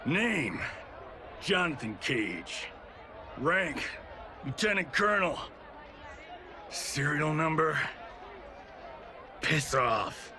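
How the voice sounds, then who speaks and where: An actor playing a cocky, wisecracking soldier officer, brash and flirty. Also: male voice, a little high, sing-song, extremely slow, pauses often, pushed and bright, some chatter behind, a little hiss or hum, exaggerated voice. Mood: defiant. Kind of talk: video game cutscene acting.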